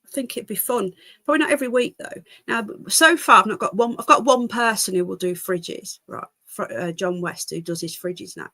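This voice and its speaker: A woman's voice: mid-range voice, brisk, pushed and bright, no room sound. A British woman with a congested voice hosts a livestream in a casual, rambling way, chatting to viewers and easily drifting into asides. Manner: chatty, easily distracted, jumping between asides. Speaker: livestream host.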